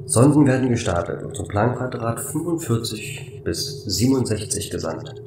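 A man voicing a calm, matter-of-fact station computer in a flat, formal way for a sci-fi audio drama. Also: fairly low pitch, moderate pace, echoey room, distant mic, a little hiss or hum. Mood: factual.

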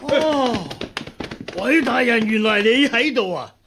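Obedient male underling, very high gravelly voice, eager, radio drama. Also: lively intonation, takes it slowly, noisy background, heavily acted. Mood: surprised. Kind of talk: Cantonese radio drama acting.

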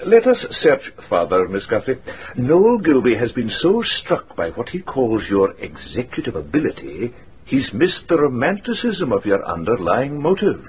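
An actor playing a bookish gentleman lawyer in a radio drama, formal, inquisitive and deliberate. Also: mid-range voice, wildly swooping pitch. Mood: probing, courteous. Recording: dull radio recording, faint noise.

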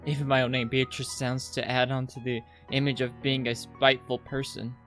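Game streamer, mid-pitched, halting read-aloud, casual asides, faint background music. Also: level tone, a bit bright. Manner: halting reader, stumbles over words. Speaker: host of a visual novel playthrough. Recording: music behind the voice.

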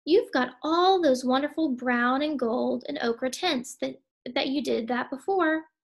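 A woman's voice: high-pitched, measured pace, gravelly, exaggerated voice. A woman voicing a fretful, pleading female hare who coaxes her husband in a storybook. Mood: coaxing. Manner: pleading, exclaiming.

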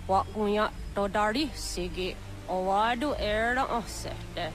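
An actress playing a serious elderly village matriarch in a video game cutscene, speaking in a measured, grave way. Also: female voice, medium pitch, music in the background, natural voice. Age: older. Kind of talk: dramatic game cutscene acting.

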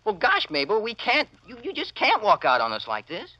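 A cocky American teenage son in an old-time radio drama. His voice is bright and very animated. He talks in brash, breezy slang. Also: high voice, a little fast, no room sound, muffled recording, full character voice. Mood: pleading. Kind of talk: 1940s radio acting.

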